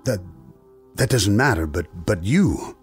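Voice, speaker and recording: A man's voice: lowish voice, expressive, measured pace, husky, soft background music, heavily acted. A man acting a rich, cowardly schemer in a radio drama, stammering, pleading and falsely cordial. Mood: startled, irritable. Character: rich, cornered, cowardly male double-crosser.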